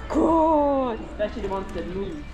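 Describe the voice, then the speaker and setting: Lively woman coaching her dog. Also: fairly high pitch, normal speed, over music. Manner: lively, cheery praise and coaxing to a dog. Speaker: service dog handler. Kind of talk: casual vlog chat while training a dog. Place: indoor shopping mall and stores. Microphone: action camera worn low on a dog. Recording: loud mall background noise.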